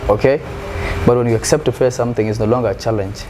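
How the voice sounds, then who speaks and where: A man's voice: mid-range voice, fast. South sudanese guy, musician, earnest, keeps repeating words, noisy background. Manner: earnest, repeats words while searching for phrasing. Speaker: musician guest. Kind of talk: casual interview answers. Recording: steady background noise.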